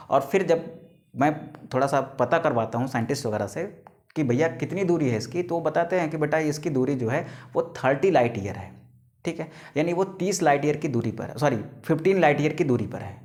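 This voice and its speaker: Man, 30s, teacher, fairly high voice, asks and answers his own questions. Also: a little mellow. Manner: calls students "beta", asks questions and answers them himself; stretches key English terms out slowly, word by word. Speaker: biology teacher. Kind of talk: classroom lecture to students.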